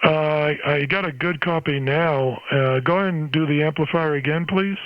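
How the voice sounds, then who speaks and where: A man's voice: a little high, moderate pace, heavy background noise. American man on dull-sounding ham radio. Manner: conversational, uses radio jargon. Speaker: amateur radio operator, channel host. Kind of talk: casual ham radio exchange. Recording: dull, band-limited radio audio.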